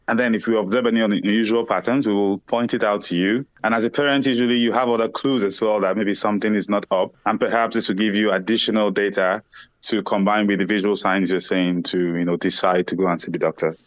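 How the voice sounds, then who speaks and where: A man's voice: on the low side, steady tone, fast, no room sound. A man from Nigeria, a startup founder, explaining his product earnestly in an interview with many "you know"s and restarts. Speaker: startup CEO guest. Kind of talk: conversational interview answers.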